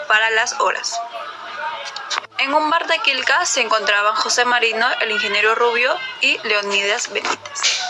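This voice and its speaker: A woman reading scripted story narration on a noisy amateur recording. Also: a little high.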